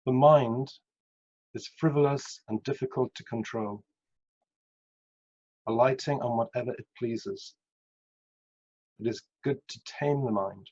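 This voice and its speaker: A man reading scripture aloud in a measured way. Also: mid-range voice, darkish tone. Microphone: video call over Zoom. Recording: dull, lo-fi video call.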